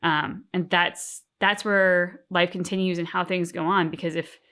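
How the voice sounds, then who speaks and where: A woman co-hosting a reaction show, talking casually and thoughtfully, with deliberate, emphatic phrasing. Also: lowish voice, fairly even intonation, a bit bright. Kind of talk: casual reflective discussion.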